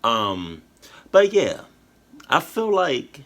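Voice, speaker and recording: A man's voice: mid-range voice, huge pitch swings, drawn-out words, fairly bright tone. A tired man chatting casually to his viewers in a reaction video, rambling with slang, fillers and restarts. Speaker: YouTube reactor. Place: at home, indoors.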